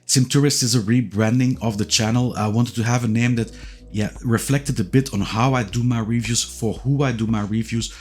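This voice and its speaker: A man's voice: mid-range voice, moderate pace, slightly rough. A man in his forties, a native Dutch speaker with a Dutch accent, talking casually and hesitantly with lots of fillers as he introduces his review channel. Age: 40s. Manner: relaxed, hesitant, many "uh" and "yeah" fillers and repeated words. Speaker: YouTube reviewer of sim racing gear. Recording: faint music bed behind the voice.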